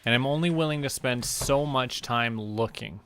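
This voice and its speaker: A man giving casual gameplay commentary, rambling and relaxed as he talks to himself. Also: medium pitch, moderate pace, clean tone, no room sound. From Canada. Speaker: streamer.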